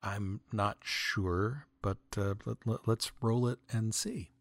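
A man's voice: fairly low pitch, slow pace, vocal fry, no room sound. A man hosting a podcast, reading scripted comic corrections and listener mail with asides in a tongue-in-cheek, mock-earnest way.

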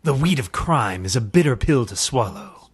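Radio-serial spoof lead: suave, self-important mystery crimefighter, mid-pitched with a slightly rough voice male actor. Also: big pitch swings, normal speed. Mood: grandly mysterious. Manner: theatrical, pompous. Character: suave millionaire playboy secretly a pompous mysterious crimefighter. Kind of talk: parody of 1940s radio drama.

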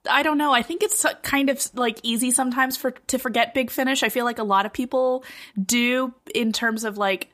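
A woman's voice: on the high side, fairly even intonation, normal speed, fairly bright tone. A woman co-hosting a podcast, chatting casually in informal, loosely phrased sentences. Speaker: podcast co-host. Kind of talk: casual chat.

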